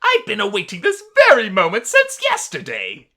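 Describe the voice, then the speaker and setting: A woman's voice: medium pitch, wildly swooping pitch, moderate pace, pushed and bright, full character voice. Woman voicing an exuberant, pompous skeleton guard, wildly swooping. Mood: boastful, earnest. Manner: pompous, theatrical, emphatic declarations. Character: exuberant, grandiose skeleton royal guard, male. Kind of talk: visual novel game character voice.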